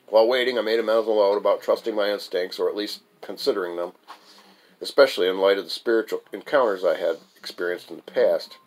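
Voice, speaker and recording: A man with a fairly low voice recites a piece of his own autobiographical prose. He reads as the author, in a scripted storytelling style, now and then tripping over a word and picking it back up. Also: low-quality recording. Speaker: author reading own writing aloud. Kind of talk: scripted first-person narrative reading, recitation.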